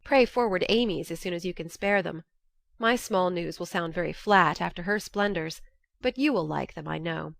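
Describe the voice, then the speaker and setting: A woman's voice: on the low side, normal speed, gravelly, no echo, clean studio sound. A woman narrating an audiobook, reading a classic novel's first-person letters as scripted narration.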